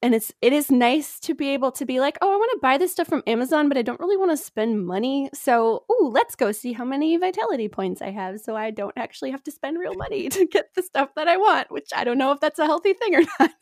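Guest, young woman, higher voice, upbeat giggly storyteller, dry close mic. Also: lively intonation, fast, hardly any pauses, dry recording. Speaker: podcast guest. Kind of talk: casual chat.

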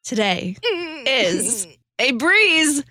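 A woman introduces a podcast in a casual style. Her voice is on the high side and moves in lively swings of pitch, with music behind it. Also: extremely slow. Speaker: podcast host.

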